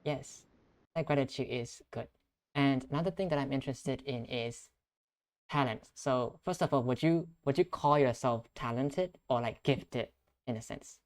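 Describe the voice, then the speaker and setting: Casting: young male podcast host, mid-range voice, measured and earnest interviewer. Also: medium pitch. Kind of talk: conversational interview.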